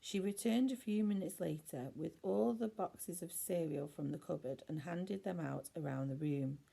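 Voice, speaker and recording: Low-pitched woman reading a children's story. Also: normal speed, gravelly, warm tone, breathy edge. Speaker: primary school teacher reading aloud.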